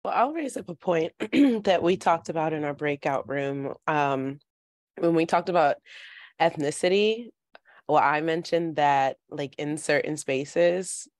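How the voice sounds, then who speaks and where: A woman's voice: deep voice, a little slow, no room sound. Dry-sounding woman thinking aloud. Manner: tentative, rethinking aloud. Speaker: workshop participant. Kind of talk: informal group discussion.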